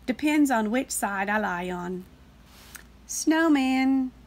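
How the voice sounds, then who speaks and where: Storybook counting number, played by a woman: higher-pitched, slow, chatty, sentimental, excitable. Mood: confiding.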